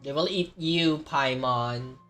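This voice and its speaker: A woman's voice: extremely low pitch, slow pace, music in the background. A woman with a Filipino accent casually reacting to a game as she streams, playful and teasing, switching between languages. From Philippines.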